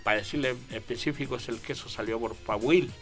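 An older Chilean man from a small coastal town, talking about his amateur theatre work in a halting, rambling way, with many restarts. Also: mid-range voice, over music. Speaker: guest, local amateur actor. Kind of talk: casual interview chat.

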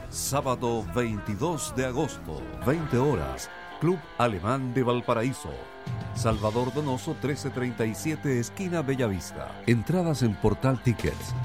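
Slow, emphatic male radio announcer. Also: mid-range voice. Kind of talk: scripted promo announcing.

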